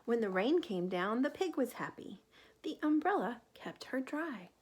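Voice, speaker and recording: A woman reading a picture book aloud to children like a teacher, gently pausing to ask questions and point things out. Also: a little high, sing-song, husky.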